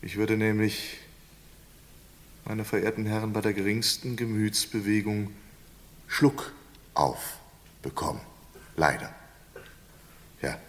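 A man's voice: lowish voice, slow pace, long pauses. An actor playing a condemned prisoner in a radio crime play, unruffled and elaborately polite, drawing out his menace with mocking courtesy. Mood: calm polite menace.

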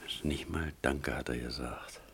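A man's voice: rumbling low, fairly even intonation, measured pace, a bit bright, husky, exaggerated voice. An actor playing a grumbling, offhand man serving in a village pub in a German radio play, colloquial and muttering. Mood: puzzled grumbling.

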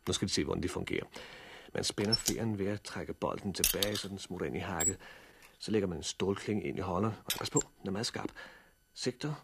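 Crackly noisy 60s danish radio play, calm practical survivor guy, low creaky voice. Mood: practical, instructing. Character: calm, practical male survivor, protective and matter-of-fact. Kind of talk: 1960s Danish radio drama acting. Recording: noisy, clicking 1960s radio recording.

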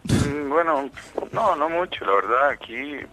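A man's voice: a little high, lively intonation, unhurried, pushed and bright, faint music behind, low-quality recording. A man with a Costa Rican accent, chatting casually as a guest, easygoing and a bit hesitant. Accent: Costa Rican Spanish. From Costa Rica. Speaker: guest on a phone call. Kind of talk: casual chat.

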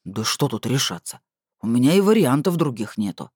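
A woman reader voicing a mighty, irascible male being with a rumbling, grumbling bass in a storybook. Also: sing-song, a little fast, a little mellow, breathy edge, no room sound, studio quality, exaggerated voice. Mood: reluctant. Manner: grumbling, brooding.

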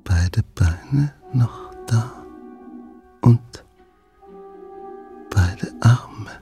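An actor voicing a bewildered paralysed male patient's anxious inner monologue in a radio drama, halting and half-whispered. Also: medium pitch, animated. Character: bewildered paralysed patient, anxiously taking stock of his body. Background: music.

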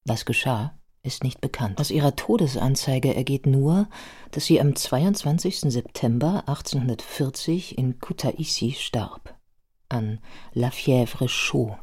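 A man playing a reflective biographer who narrates a radio play in sober literary prose, with a husky voice. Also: medium pitch, expressive, measured pace, a little mellow. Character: reflective biographer recounting a life with sober sympathy. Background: music.

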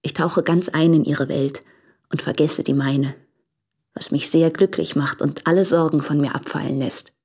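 A woman playing an earnest, troubled married letter writer in a radio play, reading in a formal, measured manner. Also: deep voice, fairly even intonation, darkish tone, slightly rough. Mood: troubled, confiding.

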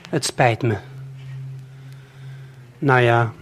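A man playing a commanding, self-important God in an audio play, speaking slowly and theatrically, at times almost whispering. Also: medium pitch, fairly even intonation, a little hiss or hum. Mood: moved, theatrical. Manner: imperious, theatrical, breaking into whispers. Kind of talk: slow audio play monologue to the listener. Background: faint music.

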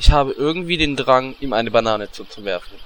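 A man's voice: medium pitch, lively intonation, brisk, fairly bright tone, a little hiss or hum, lightly acted. A man voicing a crude, wisecracking male adventurer who makes sardonic comments.

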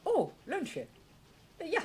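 A woman's voice: very high-pitched, wildly swooping pitch, slow pace, heavy background noise, exaggerated voice. An actress playing an eager, evasive woman journalist in a Dutch radio play, hesitant and flustered. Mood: eager, polite.

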